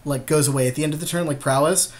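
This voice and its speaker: A man's voice: a little high, steady tone, very fast. A man co-hosting a game session in casual chat, conversational and informal, often adding asides. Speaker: podcast co-host playing a card game.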